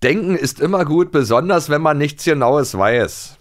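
A man's voice: fairly high pitch, lively intonation, moderate pace. A man co-hosting a podcast in playful casual chat, jokey, interrupting himself and hamming up quoted lines. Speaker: podcast co-host.

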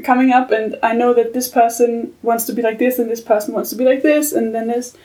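Flat-voiced, earnest woman writer. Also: on the high side, fairly quick. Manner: earnest, reflective. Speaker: podcast guest, writer. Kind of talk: casual discussion. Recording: far from the mic.

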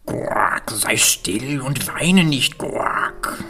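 A woman voicing a frog from a storybook, croaking and whispering as he bargains. The voice sits unusually deep and moves slowly, yet the pitch swings widely. Music plays clearly behind him. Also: expressive, exaggerated voice. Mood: coaxing. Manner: croaks between phrases. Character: croaking sly male frog in a well. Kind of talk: storybook reading.

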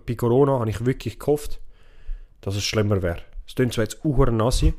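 A young man with a Swiss accent speaks at a very quick pace in a mid-range voice. He chats casually as a podcast co-host, sounding opinionated and putting firm emphasis on his points. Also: medium pitch, a little mellow. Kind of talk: casual chat.

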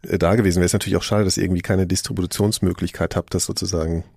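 A man's voice: sits low, steady tone, very fast, gravelly, darkish tone, dry recording, clean studio sound. A man chatting casually as a discussion host, thinking aloud in an informal, rambling way. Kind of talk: casual interview chat.

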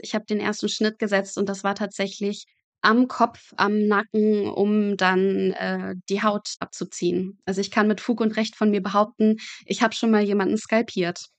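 A woman's voice: medium pitch, no room sound. A woman in her twenties, a medical student being interviewed, telling vivid stories in a frank, matter-of-fact conversational way. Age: 20s.

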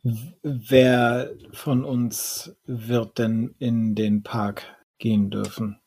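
A man as a slow, husky, cautious vampire. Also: mid-range voice, flat delivery, darkish tone, full character voice. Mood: thoughtful. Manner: hesitant, searching for words. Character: cautious, deliberating male vampire counsellor. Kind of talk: improvised tabletop role-play audio drama.

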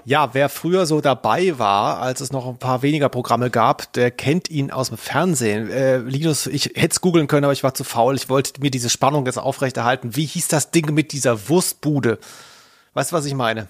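A man's voice: medium pitch, normal speed. A man co-hosting a podcast, chatting in a casual and colloquial way. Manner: informal, colloquial. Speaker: podcast co-host. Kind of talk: casual chat.

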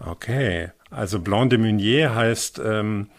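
A man's voice: lowish voice, takes it slowly. A man hosting a wine podcast, chatting in a friendly and curious way with his guest. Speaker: podcast host. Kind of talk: podcast interview, relaxed chat.

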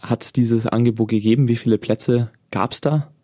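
A man's voice: medium pitch, steady tone, normal speed, warm tone, no room sound, studio quality. A man asking interview questions plainly and directly.

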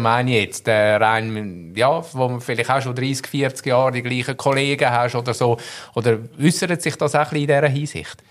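A man hosts an interview podcast. He asks friendly, fairly long questions at a mid pitch.

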